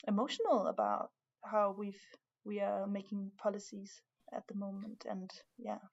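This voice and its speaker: A young woman from Denmark with a Danish accent, speaking English haltingly in a casual conversation, full of fillers and restarts. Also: medium pitch, steady tone, creaky, darkish tone, dull audio. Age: young adult. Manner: hesitant, many fillers and restarts. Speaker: art student, guest.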